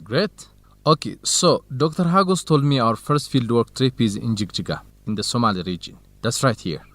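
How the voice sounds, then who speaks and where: Radio drama role: modest, helpful Ethiopian male research assistant, medium pitch, earnest delivery, very dry recording. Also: animated, normal speed, fairly bright tone, no echo. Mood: practical, helpful. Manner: polite, earnest. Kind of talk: radio drama acting, measured educational dialogue.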